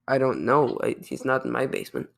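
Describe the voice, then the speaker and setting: A man's voice: mid-range voice, sing-song, brisk, creaky, fairly bright tone. A teenage male podcast co-host recapping a film in a casual, loose and jokey way. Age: teens.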